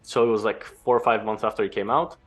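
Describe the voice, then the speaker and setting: A young man from Greece, a former pro gamer, answering interview questions in a relaxed, explanatory way. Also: fairly low pitch, quick pace, soft background music. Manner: relaxed, explanatory, some restarts.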